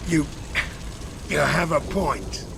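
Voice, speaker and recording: Gravelly, vengeful old mercenary. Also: male voice, expressive, slow pace, full character voice. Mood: grudging. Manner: gruff, blunt, cursing. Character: grizzled, vengeful, foul-mouthed older male mercenary. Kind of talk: dramatic video game voice acting. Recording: loud music and machinery behind. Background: loud game score, mechanical rumble.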